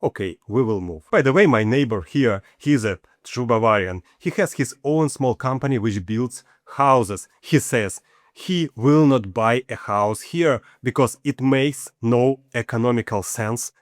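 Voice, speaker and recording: A man in his forties speaks English with a Ukrainian accent in a mid-pitched voice. He lays out costs and sums one step at a time in a matter-of-fact way. The recording is dry, with no room echo. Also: unhurried. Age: 40s. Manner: matter-of-fact, walks through figures step by step. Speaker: channel host. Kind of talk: personal finance vlog.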